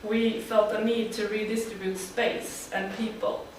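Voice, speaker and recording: A woman from Sweden presents her team's project to an audience, pausing on frequent fillers. Her voice sounds distant in a big, very echoey hall, with faint room noise behind it. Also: mid-range voice, level tone, a little mellow. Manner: speaks with frequent uh fillers, friendly and plain. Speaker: student team presenter.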